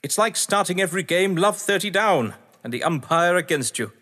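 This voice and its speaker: Man acting haughty, animated husband in echoey room. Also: high-pitched, a little fast, heavily acted. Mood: bitter, sardonic. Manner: verbose, sardonic, theatrical. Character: witty, haughty husband questioned by police. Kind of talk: audio drama acting. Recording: echoey hall-like reverb.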